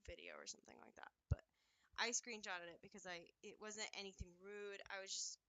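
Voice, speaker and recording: A sleepy woman talks casually, pausing and wandering through her thoughts. Her voice is mid-pitched and bright. She sounds like she has only just woken up. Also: fairly even intonation, clean tone, no room sound. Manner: casual, rambling, slightly hesitant. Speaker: host's wife, guest on stream. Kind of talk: casual chat.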